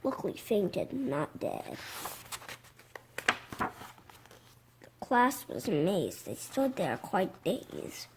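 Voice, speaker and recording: A six-year-old child reading a picture book aloud, haltingly, with stumbles over words. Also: medium pitch, sing-song, a little mellow, slight background noise. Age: young child, six. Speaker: reader.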